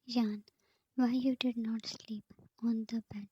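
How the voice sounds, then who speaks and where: A woman voicing a heartbroken young woman who pleads but stays resolute, all in a soft whisper. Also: on the high side, level tone, slow pace, slightly rough, lo-fi sound. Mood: pleading.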